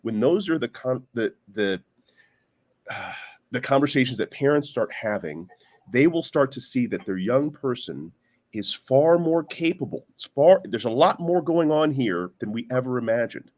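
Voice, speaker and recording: A man's voice: mid-range voice, animated, normal speed, darkish tone. A man on a discussion panel explaining his view conversationally, often searching for words and posing questions as examples.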